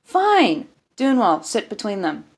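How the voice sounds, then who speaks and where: A woman's voice: mid-range voice, huge pitch swings, slow, breathy edge, voice pushed up, heavily acted. A woman voicing an irritable male military sergeant in an audiobook story, sweary, indignant and commanding. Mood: exasperated, commanding.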